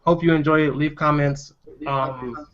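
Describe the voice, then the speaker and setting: Muffled, higher-voiced man hosting a chat. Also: fairly even intonation, measured pace, a bit bright. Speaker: host and indie comic writer. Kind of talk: casual panel discussion. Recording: dull, muffled lo-fi audio.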